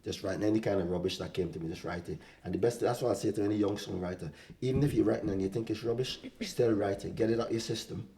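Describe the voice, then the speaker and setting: Older guy in his 60s, low soft-edged voice, telling stories casually. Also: quick pace, hardly any pauses, a little hiss or hum. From West Indies. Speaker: podcast guest, singer-songwriter. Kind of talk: casual interview chat, anecdotal.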